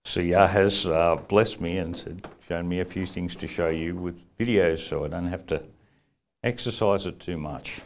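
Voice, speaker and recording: A man with a croaky voice leading a congregation in earnest prayer and teaching. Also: sits low, moderate pace, a little hiss or hum. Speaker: congregation leader.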